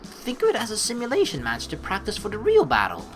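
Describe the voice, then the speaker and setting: A woman's voice: medium pitch, wildly swooping pitch, fast talker. A woman let's player reading game text aloud expressively, mixed with casual commentary. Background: game music.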